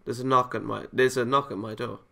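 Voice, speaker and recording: A man's voice: mid-range voice, fairly even intonation, brisk, gravelly, sharp tone. A man playing a visual novel, reading the story aloud and adding casual reactions of his own. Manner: chuckles and comments between read lines. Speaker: video game let's player.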